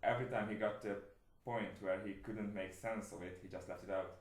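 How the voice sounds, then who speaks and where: A man's voice: lowish voice, fairly even intonation, fairly quick, echoey room. A man with a Hungarian accent, Hungarian his first language, talking in earnest and enthusiastic way in a casual book club conversation in a home studio. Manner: earnest and enthusiastic, laughs easily.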